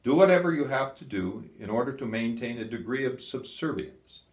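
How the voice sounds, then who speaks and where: Man acting out a commanding field marshal, raised pitch, echoey. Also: animated, normal speed, close to an everyday voice. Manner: curt, authoritative. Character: commanding, scornful German field marshal, balding war veteran. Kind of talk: audiobook character dialogue. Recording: echoey, roomy sound.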